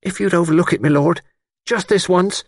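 A man voicing a tipsy, insolent young working-class chauffeur in an audiobook, talking in a rough, loose-tongued way. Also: sits high, normal speed, no room sound, studio quality, pitched above the performer's own voice. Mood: pleading.

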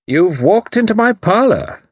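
A man's voice: sits high, big pitch swings, unhurried, husky, dry recording, studio quality, voice pushed up, heavily acted. A man doing a mocking spy commander. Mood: mocking, smooth. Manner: suave, mocking, then grimly threatening. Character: mocking menacing male enemy spy commander.

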